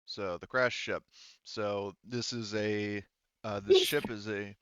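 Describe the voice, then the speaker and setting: A man with a low, smooth voice narrates as game master of a tabletop roleplaying session. He feels his way through descriptions, pausing and rewording mid-sentence. The sound is dry and slightly muffled. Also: fairly even intonation, fairly bright tone, dry recording. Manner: halting, thinks aloud, often rephrases mid-sentence.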